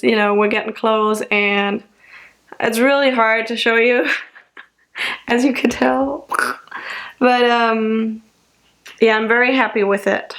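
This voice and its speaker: A young woman from Germany talks to her camera with a light German accent. Her voice sits a little high, and she rambles in an easy, chatty way, often breaking into laughter. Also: fairly bright tone. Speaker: vlogger. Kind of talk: casual chat to camera.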